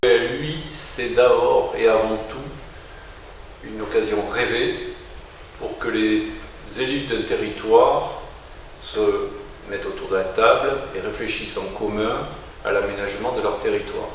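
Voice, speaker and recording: A man giving a deliberate, reflective explanation as if answering an interview. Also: on the low side. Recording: very echoey room, slightly distant mic, faint noise.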